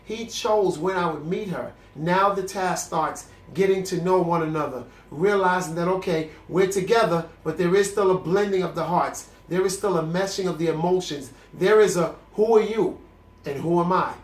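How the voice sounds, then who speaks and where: A young man with a fairly high voice speaks about his faith, congested and coughing a little. He talks to the camera in an earnest, preacherly way, often restarting his sentences. The room gives his voice a noticeable echo. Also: normal speed. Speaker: host of a celibacy video series. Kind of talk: candid faith talk to camera. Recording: echoey room.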